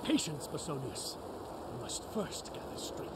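A man's voice: a little high, big pitch swings, unhurried, noisy background. A man playing an earnest, wise lore master giving solemn, archaic counsel in a video game role-play. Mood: solemn, counselling.

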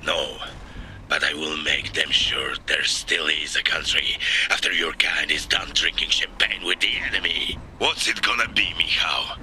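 An actor playing a fierce, defiant middle-aged rebel commander in a video game, gruff, blunt and commanding. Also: male voice, a bass voice, moderate pace, sharp tone, slight background noise, full character voice, low-quality recording. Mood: scornful defiance. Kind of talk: dramatic video game voice acting.

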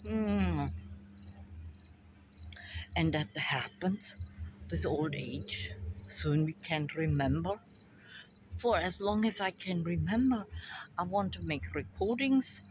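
An older woman with a German accent, musing slowly to a phone camera in her backyard about memory and family. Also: lowish voice, background noise. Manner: slow, musing, drifting between thoughts. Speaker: vlogger. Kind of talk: rambling personal monologue to camera. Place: backyard outdoors by a fence. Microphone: phone camera at arm's length. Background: crickets and insects.

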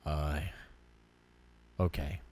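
Man playing a low, halting young cafe guest. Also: little pitch movement, darkish tone, full character voice. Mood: subdued, uneasy. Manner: halting, mumbling, apologetic. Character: laid-back, hesitant young male cafe guest, recently dead. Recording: music playing behind the voice.

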